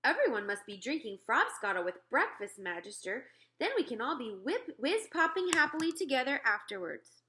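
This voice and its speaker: Lady doing a big friendly giant from a kids book, excitable, jumbled grammar, really animated and loud. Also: high voice, moderate pace, hardly any pauses, voice pushed up, full character voice. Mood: excited, exclaiming. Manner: garbled grammar and mangled made-up words. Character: huge, excitable, childlike friendly male giant.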